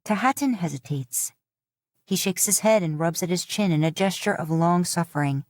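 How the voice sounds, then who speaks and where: A woman reading a fanfiction audiobook in a steady, measured storytelling manner. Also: on the low side, dry recording, studio quality. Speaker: audiobook narrator.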